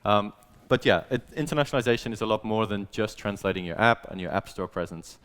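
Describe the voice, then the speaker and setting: A man's voice: fairly low pitch, fast talker, a bit bright, clear, resonant tone. A man presenting at a business event, explaining things in a casual, conversational way with plenty of "like". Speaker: conference speaker, growth manager. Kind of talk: informal business presentation to an audience.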